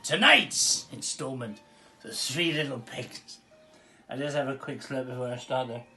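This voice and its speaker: A man's voice: medium pitch, a little slow, sharp tone, soft background music. A man telling a rambling comic bedtime story, chuckling and wandering into asides.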